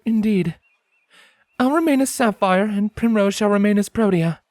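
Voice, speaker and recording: A woman playing a wary ex-soldier pony, speaking in a formal, commanding, measured way in a dramatic story reading. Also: mid-range voice, a little mellow, no room sound, clean studio sound, natural voice. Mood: serious. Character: stern, wary female pony, ex-soldier fugitive. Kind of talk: dramatic audiobook acting.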